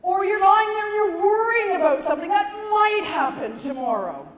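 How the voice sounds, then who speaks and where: A middle-aged woman giving a stand-up comedy talk to an audience, emphatic and conversational, with dramatic pauses. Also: very high-pitched, lively intonation. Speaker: comedian, keynote speaker. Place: large conference ballroom stage. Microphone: handheld microphone. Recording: echoey hall PA, some noise.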